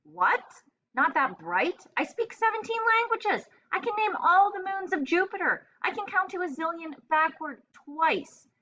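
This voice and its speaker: A woman voicing a grumpy, sarcastic, indignant pet parrot in a children's storybook diary, with deadpan, exasperated complaining. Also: very high voice, a little slow, dull audio, voice pushed up, exaggerated voice. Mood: indignant, boastful. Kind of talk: children's storybook read-aloud in diary form.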